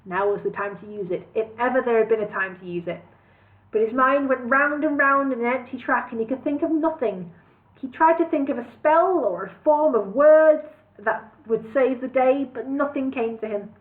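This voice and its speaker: A woman's voice: high voice, normal speed. A woman reading a comic novel aloud on a livestream, playful, with chatty asides. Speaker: reader of a parody novel. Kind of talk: casual livestream audiobook reading. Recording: tinny phone-like sound.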